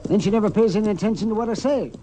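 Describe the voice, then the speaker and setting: A man plays a crusty frontier doctor in a vintage radio western. His voice sits fairly high, folksy and fussy, muttering and exasperated. Horse hooves and faint noise sit behind him on the old recording. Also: very fast, dark tone, lightly acted, rough recording. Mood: grumbling, exasperated. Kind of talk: 1950s radio western acting.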